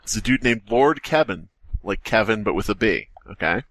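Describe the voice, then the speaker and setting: A man's voice: lowish voice, lively intonation, moderate pace, fairly bright tone, no echo. A man co-hosting a podcast, chatting casually as a rambling, sardonic storyteller who likes to joke. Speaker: podcast co-host. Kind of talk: casual comedic podcast chat.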